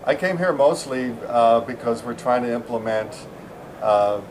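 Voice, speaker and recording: A man's voice: mid-range voice, a little slow, noisy background. A middle-aged American man from San Diego, chatting casually at a conference as an interviewee, rambling with many fillers and restarts. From San Diego, USA. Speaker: interviewee, software engineer. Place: busy conference venue.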